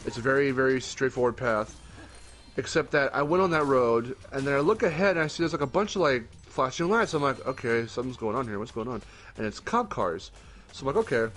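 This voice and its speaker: A man's voice: mid-range voice, fairly quick, a bit bright, slight background noise. A young man with a groggy morning voice, chatting casually as a podcast co-host, rambling and correcting himself, with the odd swear word. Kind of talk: casual chat.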